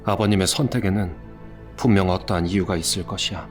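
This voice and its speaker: An actor playing a soft-spoken older brother prince who urges calm, in an audio drama. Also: male voice, sits low, fairly quick, soft background music, lightly acted. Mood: resigned. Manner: soft, consoling.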